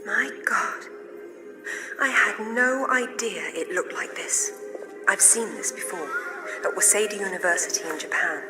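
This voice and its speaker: A woman's voice: mid-range voice, expressive, unhurried, lightly acted. An actress playing a confident female adventurer archaeologist, dry and self-assured. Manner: cool, wry and self-assured. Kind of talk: video game cutscene acting. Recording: game audio under loud music.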